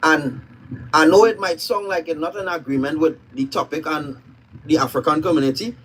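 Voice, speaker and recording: Outspoken Trinidadian man, higher voice. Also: moderate pace, fairly bright tone, a little hiss or hum, rough recording. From Trinidad and Tobago. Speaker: live stream participant. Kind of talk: opinionated live stream commentary.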